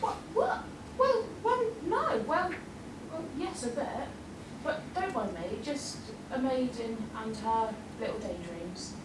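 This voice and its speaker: A woman performs a friendly young castle maiden pony, gushing and easily flustered. The sound is distant and echoey, with loud noise around it. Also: on the high side, big pitch swings, slow pace. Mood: flustered, bashful.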